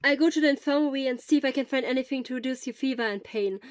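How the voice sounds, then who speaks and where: A woman voicing a loud, eager, caring and energetic fifteen-year-old schoolboy. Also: high voice, racing, no echo, clean studio sound, voice pushed up, lightly acted. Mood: concerned, eager.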